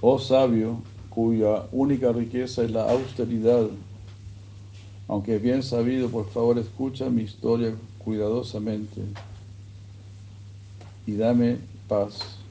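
A man giving a sermon, retelling a scripture story in a slow, measured way. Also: medium pitch, flat delivery, dark tone, lo-fi sound, a little hiss or hum. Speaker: religious speaker.

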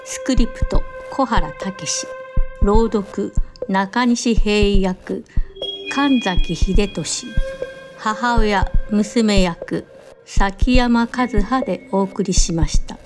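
A woman announces a radio drama programme from a script in a slow, measured delivery. Her mid-pitched voice rides over very loud music.

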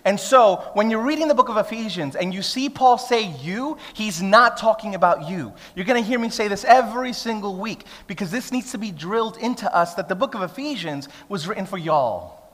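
A man's voice: sits high, animated, a little fast, few pauses, a bit bright. A man, preaching a sermon in a conversational, humorous way that builds to emphatic declarations. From Brooklyn, New York. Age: 30s. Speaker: pastor. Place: church hall. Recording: long reverb of a church hall.

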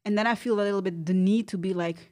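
Animated Belgian woman with a Dutch accent. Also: medium pitch, fast. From Belgium, born in Rwanda. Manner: animated, acts out quoted reactions. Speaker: podcast guest sharing personal experience. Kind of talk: casual conversational storytelling.

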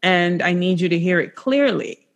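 A woman's voice: lowish voice, expressive, moderate pace. A woman hosting a podcast, who speaks in a thoughtful, affirming way. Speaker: podcast host. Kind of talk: casual podcast interview.

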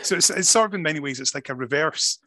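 A man's voice: on the high side, animated, fast talker, pushed and bright, no echo. A man hosting a podcast, upbeat and asking his guest short questions. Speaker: podcast host. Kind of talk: friendly interview hosting.

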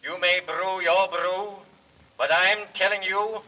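High-voiced sardonic magic mirror. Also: male voice, fairly even intonation, slow. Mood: mocking, warning. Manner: rhyming, mocking. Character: cheeky, sardonic male talking magic mirror. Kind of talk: 1930s children's radio serial acting. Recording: distant, muffled 1930s radio recording.

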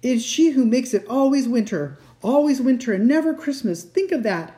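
Woman acting a tearful, high-strung male fawn. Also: animated, moderate pace, warm tone, close to an everyday voice. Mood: guilty, anguished. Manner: tearful, self-reproaching, melodramatic. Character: sorrowful, guilt-ridden male fawn, sobbing and confessing. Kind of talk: dramatised storybook reading.

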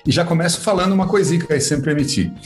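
A man's voice: fairly high pitch, very fast, soft background music. A man, explaining things conversationally as a guest, chatty and colloquial with frequent tag questions. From Sorocaba, São Paulo state, Brazil. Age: 50s. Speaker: podcast guest.

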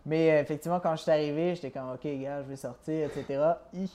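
A man's voice: fairly high pitch, quick pace. A young man from France with a French accent turning Québécois, telling stories casually in an interview and acting out the dialogue. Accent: French, partly Québécois. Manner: animated storyteller, acts out dialogue, laughs often. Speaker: guest.

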